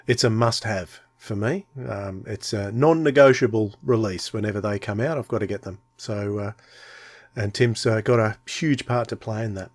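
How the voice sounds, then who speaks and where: A man's voice: fairly low pitch, moderate pace, dry recording. A man co-hosting a podcast in casual, conversational chat. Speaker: podcast co-host.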